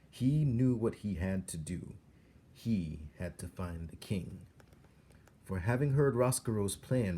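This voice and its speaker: A man's voice: deep voice, mellow, a little hiss or hum. A man reading a storybook aloud as a dramatic narrator. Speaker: storybook reader.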